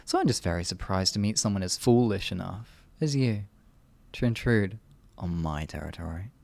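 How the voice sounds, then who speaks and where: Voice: sits low, animated, normal speed, gravelly, a little mellow, no room sound. A performer voicing an evil, sadistic sorcerer in an ASMR fantasy roleplay, theatrical and condescending, stretching words and slipping into menacing whispers. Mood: mocking menace. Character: evil, sadistic, all-powerful sorcerer, gloating and cruel.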